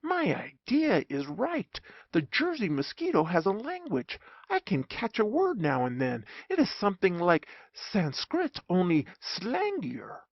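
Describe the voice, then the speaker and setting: Narrator putting on a pompous excitable german professor, comic audiobook, really animated and hushed, slightly muffled recording. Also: medium pitch, unhurried, few pauses, dry recording, pitched above the performer's own voice, exaggerated voice. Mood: excited. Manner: dramatic, hushed exclaiming. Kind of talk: comic audiobook character acting.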